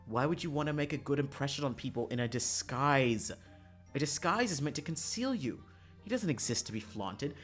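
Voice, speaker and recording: A haughty young princess from a visual novel, read by a man, curt and guarded. The delivery is cold and indignant at a middling pitch, over faint music. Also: lively intonation, normal speed, natural voice. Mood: curt, questioning.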